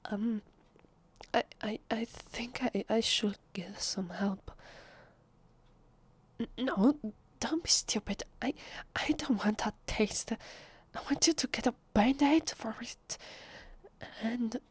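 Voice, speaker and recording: A woman with an Italian accent playing a nervous, fragile, defensive female vampire in an ASMR roleplay, speaking softly and haltingly in a breathy, often whispery voice. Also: medium pitch, steady tone, no room sound. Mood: alarmed, flustered. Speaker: voice actress. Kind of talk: ASMR roleplay, soft acted monologue.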